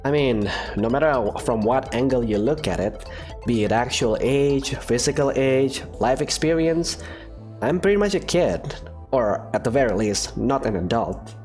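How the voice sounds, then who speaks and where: A man's voice: medium pitch, lively intonation, a little slow, faint music behind. A man narrating a fan visual novel as its student hero, hesitant and thoughtful. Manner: hesitant, thoughtful, soft-spoken. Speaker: narrator and player character.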